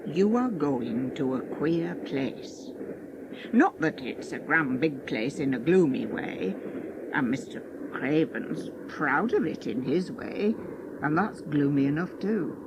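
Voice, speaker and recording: An actress playing a brisk, blunt housekeeper with a hard voice in a dramatised audiobook, talking in a chatty way. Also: female voice, lively intonation, muffled recording, full character voice. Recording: vehicle rumble behind the voice.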